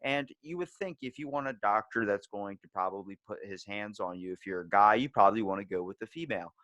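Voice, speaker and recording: Male podcast host, low voice in a dry close recording, rambling and crude in casual conversation. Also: fast talker, fairly bright tone, no room sound. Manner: rambling, crude joking anecdotes. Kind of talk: casual interview chat.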